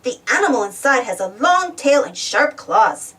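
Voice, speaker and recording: Female children's storybook reader, high voice, highly animated and exclamatory, recorded a little away from the mic. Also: moderate pace. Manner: animated, exclamatory, addresses young listeners with questions. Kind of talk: expressive children's read-aloud. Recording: distant from the mic.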